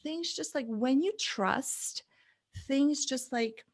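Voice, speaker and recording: A woman's voice: sits high, takes it slowly, no room sound. A woman, telling an earnest story as an interview guest over a video call, with many fillers. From Bulgaria. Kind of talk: casual interview chat.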